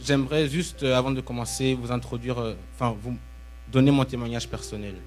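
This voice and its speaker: A man's voice: medium pitch, fairly even intonation, fairly quick, a little mellow, soft background music. A young man from Belgium preaching live in church, in short emphatic phrases that leave room for an interpreter. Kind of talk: live sermon with pauses for interpretation. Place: church, speaking to a congregation. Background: musical instrument playing.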